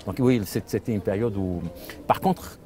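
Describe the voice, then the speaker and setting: A man's voice: lowish voice, moderate pace, warm tone. An older man from Lebanon, a storyteller whose first language is Arabic, answering interview questions thoughtfully and repeating words as he searches for them. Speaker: storyteller, father. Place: outdoor festival.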